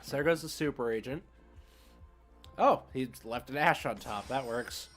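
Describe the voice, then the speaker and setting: A man with a mid-pitched voice commentates his card game live for a stream. He talks in an informal, slangy way and thinks aloud through his moves. Music plays behind his voice. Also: normal speed.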